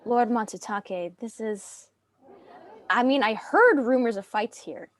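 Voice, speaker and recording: A woman's voice: a little high, slow. A woman playing a hesitant, curious young noblewoman in an amateur dramatic script reading with faint room noise. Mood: uneasy, curious.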